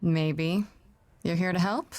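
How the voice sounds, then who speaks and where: A woman's voice: lowish voice, fairly even intonation, takes it slowly, a little mellow, no echo. A woman acting a determined young heroine in a video game, earnest and dry, with an understated natural delivery. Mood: resolute.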